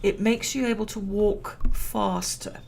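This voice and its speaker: Gravelly, slow-talking woman gaming. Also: medium pitch, fairly even intonation, a little mellow, slight background noise. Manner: chatty, self-amused, laughs at own jokes. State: losing her voice. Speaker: gameplay commentator. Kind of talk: relaxed let's-play commentary.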